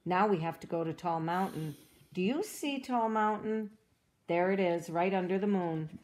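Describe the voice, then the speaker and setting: Dora read by a woman, gentle upbeat girl. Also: fairly low pitch, moderate pace, natural voice. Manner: gentle, encouraging, asks the listener questions. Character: cheerful young girl explorer, upbeat and eager. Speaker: storybook reader. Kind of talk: reading a children's storybook aloud.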